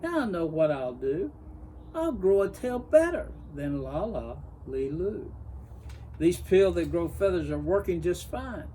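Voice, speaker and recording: A man voicing a jealous, vain young female bird from a storybook, excitable and whiny in exclaimed rhymes. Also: sits high, measured pace, slight background noise, poor audio. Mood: scheming. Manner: exclaiming, boastful, whiny rhyming speech. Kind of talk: storybook character voice.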